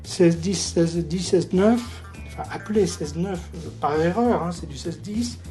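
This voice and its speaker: A hoarse man talks through a casual gadget review, pausing often and filling gaps with hesitant sounds. Music plays clearly underneath his voice. He keeps a relaxed, unscripted delivery from start to finish. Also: high voice. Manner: hesitant, many filler sounds. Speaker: tablet reviewer. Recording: music clearly playing behind voice.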